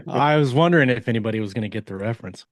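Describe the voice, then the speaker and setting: A man's voice: medium pitch, quick pace, no echo. A man co-hosting a podcast, chatting casually and telling anecdotes in a wry, self-deprecating way. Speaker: podcast co-host.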